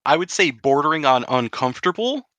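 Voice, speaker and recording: A man's voice: a little high, sing-song, brisk, pushed and bright, dry recording, dull audio. A man narrating a tabletop game as game master, explaining things in a conversational way.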